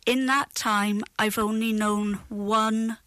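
A woman's voice: medium pitch, steady tone, takes it slowly, a bit bright, no echo. A woman in a radio studio speaking matter-of-factly about her years of work. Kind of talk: telling her own work experience on air.

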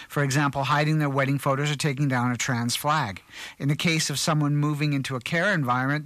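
A man's voice: medium pitch, fairly bright tone, dry recording. A middle-aged man reading a news story on a community radio show, with small slips and restarts. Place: radio studio.

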